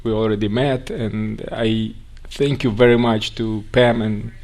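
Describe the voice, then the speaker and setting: A man with a Russian accent, raised in Siberia, giving a conference talk in a halting way with frequent restarts. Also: lowish voice, steady tone, a little mellow. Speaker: guest speaker.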